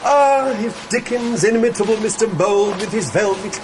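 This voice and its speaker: An actor playing a pompous, wordy, theatrical gravedigger boss in a radio drama. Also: male voice, high-pitched, normal speed, noisy background, lightly acted. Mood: pompous, jovial. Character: florid, jovial, pompous gravedigger boss who runs exhumations.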